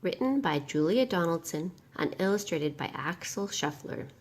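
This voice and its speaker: A woman reading a children's story aloud as narrator, in a measured, dramatic storytelling manner. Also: on the low side, lively intonation, slight background noise. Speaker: storybook reader.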